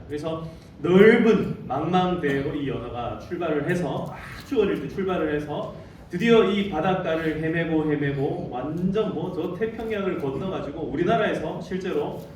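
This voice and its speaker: A fairly high-voiced man from Daegu preaches to his congregation, telling a story and asking them questions as he goes. His voice rings through a strongly echoing church hall, picked up from a distance with a faint hiss underneath. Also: darkish tone. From Daegu, South Korea. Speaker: pastor. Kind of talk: sermon. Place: church sanctuary. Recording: echoey sanctuary, distant mic.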